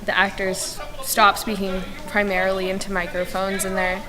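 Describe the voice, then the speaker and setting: Young girl, even-toned, in an echoing hall. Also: medium pitch, normal speed, crowd noise. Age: child or teenager. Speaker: young cast member. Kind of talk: interview explanation. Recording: reverberant hall with voices behind.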